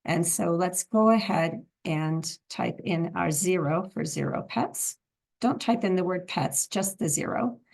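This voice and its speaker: A woman instructor with a froggy, hoarse voice, calmly explaining a software tutorial step by step. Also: on the low side, unhurried. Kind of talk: screen-recorded software tutorial.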